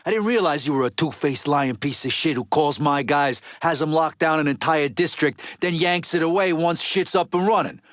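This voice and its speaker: A man's voice: on the high side, normal speed, hardly any pauses, a bit bright, dry recording, natural voice. A hard-boiled gangster from a crime video game, acted by a man, speaks in a somewhat raised male register. He is blunt and bitter, with slangy, profane, streetwise phrasing. The sound is dry, with no room around the voice. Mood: bitter, irritated. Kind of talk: video game character dialogue.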